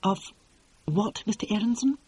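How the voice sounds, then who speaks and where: A man reading an audiobook and voicing a prim, formal male assistant who speaks with quiet precision. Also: high voice, expressive, moderate pace, husky, no echo, pitched above the performer's own voice. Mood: polite, dry. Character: polite, prim, dry-witted male assistant. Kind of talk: audiobook character voice.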